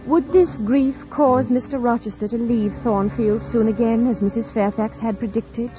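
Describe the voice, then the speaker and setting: An actress playing an earnest, composed young governess in an old radio drama, speaking with a refined British accent and careful, formal phrasing. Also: female voice, fairly high pitch, moderate pace, lightly acted. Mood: reflective. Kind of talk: 1940s radio drama narration and acting. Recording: dull old radio recording. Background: music.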